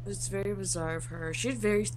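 A woman's voice: medium pitch, fairly even intonation, quick pace, background noise. A woman co-hosting a film reaction show with casual commentary and trivia. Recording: movie music clearly behind. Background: film soundtrack music.

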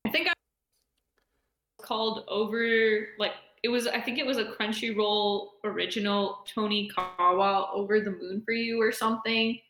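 A woman co-hosting a podcast, chatting casually in a relaxed, easygoing way with hedged opinions. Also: mid-range voice, moderate pace. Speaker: podcast co-host. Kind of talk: casual chat. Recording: echoey room.

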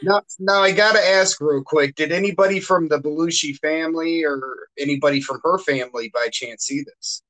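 A man's voice: on the high side, normal speed, few pauses, fairly bright tone, poor audio. A man hosting a podcast, asking short, casual questions in an easygoing way. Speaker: podcast host. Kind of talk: casual interview chat.

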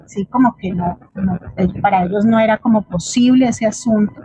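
A middle-aged Colombian woman speaking as an interviewed theatre teacher, explaining reflectively and haltingly, with frequent little checking questions. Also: medium pitch, dark tone, husky, dry recording. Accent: Colombian Spanish. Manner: explanatory, hesitant, often adds checking tag questions. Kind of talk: reflective spoken answer in an online forum.

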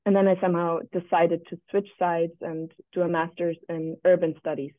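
A woman's voice: lowish voice, level tone, normal speed, dry recording. Podcast guest: woman, 27, from Berlin, reflective and tentative in casual talk, tinny call audio, no room sound. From Berlin, Germany. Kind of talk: casual interview conversation. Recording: thin, phone-like remote call audio.

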